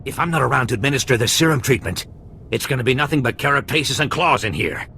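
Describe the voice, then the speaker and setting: An actor playing a cowardly pirate medic in a video game, blustering and stammering. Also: male voice, mid-range voice, expressive, fast, gravelly, sharp tone, slight background noise. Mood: nervous. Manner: blustering then backpedaling, stammering. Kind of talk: game cutscene acting.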